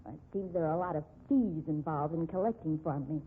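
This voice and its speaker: An actress playing an earnest, pleading office bookkeeper being blackmailed in a 1950s radio drama. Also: female voice, sits low, fairly quick, a little hiss or hum, natural voice. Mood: despairing. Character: accused female office bookkeeper, desperate blackmail victim. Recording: tinny band-limited 1950s radio recording.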